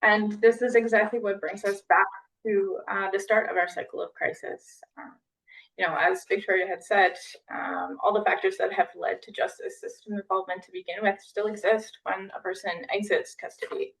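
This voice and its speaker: A woman's voice: medium pitch, moderate pace, vocal fry, far from the mic, rough recording. A woman co-presenting at a conference, talking steadily with frequent fillers. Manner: flat, steady delivery with many fillers.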